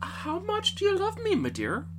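Man doing a high-pitched theatrical rich father. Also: huge pitch swings, normal speed, background noise, heavily acted. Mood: fond, probing. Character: rich old gentleman father, needy and hot-tempered.

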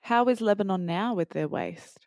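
A woman's voice: medium pitch, moderate pace, dry recording, clean studio sound. A woman interviewing a guest, asking curious, encouraging questions.

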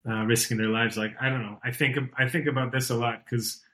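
A man's voice: medium pitch, little pitch movement, a little fast, sharp tone. A man in his thirties, a podcast guest talking in a loose, rambling way with many fillers and restarts. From born and raised outside Iran. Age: 30s. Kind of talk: casual conversational interview.

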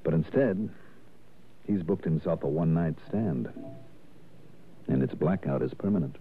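An actor playing a hard-boiled, unflappable investigator in a 1960s radio drama, narrating in a brisk, clipped way. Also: male voice, a bass voice. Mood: matter-of-fact, resolute. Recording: narrow lo-fi 1960s radio, music behind.

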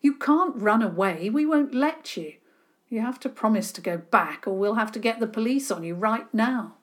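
A woman's voice: mid-range voice, animated, moderate pace, natural voice. A woman reading aloud, voicing a sensible boy who speaks firmly and takes charge of the others. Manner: firm and bossy.

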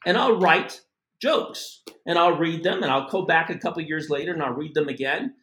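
A middle-aged man from the United States giving a casual spoken language lesson, animated and chatty with asides. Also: a little high, moderate pace, roomy sound, a little hiss or hum. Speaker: language teacher.

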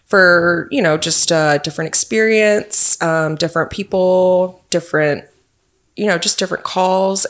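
A woman's voice: low-pitched, a bit bright. A young woman from Texas with a Texan accent, a police officer answering interview questions in an earnest, friendly way.

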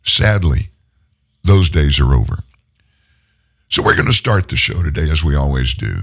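Talk show host, male, very deep and hoarse from illness, folksy and opinionated. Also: big pitch swings, normal speed, a bit bright. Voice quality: deep, rough from a long flight. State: hoarse, unwell. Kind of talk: casual political talk show monologue.

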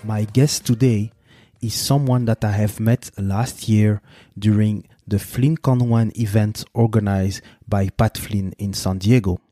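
A man's voice: fairly low pitch, a little mellow, no echo, studio quality. A man hosting an interview podcast. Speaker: podcast host.

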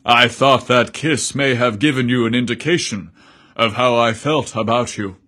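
A man's voice: mid-range voice, normal speed, pushed and bright. A man voicing a huge merman in a romance story, an assured, affectionate and teasing lover. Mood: amused, flirtatious.

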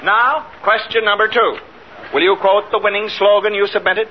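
An actor playing an indignant, skeptical children's program host, formal and sarcastic, in a 1950s radio comedy. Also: male voice, high voice, steady tone, normal speed, natural voice. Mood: dryly skeptical. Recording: muffled, very noisy old radio recording, loud audience.

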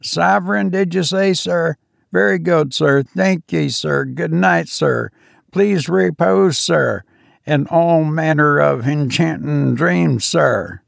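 A man's voice: on the high side, slow, creaky, no room sound, studio quality, pitched above the performer's own voice, full character voice. A man voicing a nervous, obsequious male ship's steward who fawns and keeps saying sir. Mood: fawning. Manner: fawning, keeps saying sir, drops grammar.

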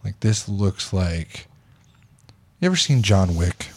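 A man's voice: low-pitched, big pitch swings, slow pace, a little mellow. A man hosting a casual podcast, chatting bluntly and reading news posts aloud. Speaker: podcast host.

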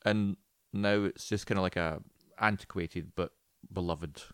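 A man's voice: low-pitched, fairly even intonation, a little slow, smooth, no echo, studio quality. A man co-hosting a podcast, chatting casually and sardonically about films and games, with plenty of swearing. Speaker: podcast co-host.

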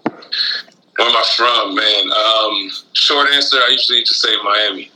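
A man from Florida chatting casually as an interview guest, halting and reflective as he talks. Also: lowish voice, noisy background. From Florida, United States, born in Guyana. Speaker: interview guest, rapper and shoe designer.

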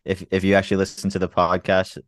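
A man's voice: low voice, completely flat delivery, fast talker, no room sound, studio quality. A man co-hosting a podcast, answering in brief, easygoing remarks in casual chat. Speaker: podcast co-host.

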